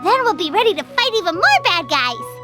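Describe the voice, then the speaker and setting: A woman voicing a tiny, childlike, greedy female game companion with a squeaky, exclamatory delivery. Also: big pitch swings, brisk. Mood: puzzled, eager. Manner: refers to herself by name, exclamatory. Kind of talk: video game character acting. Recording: background music behind voice.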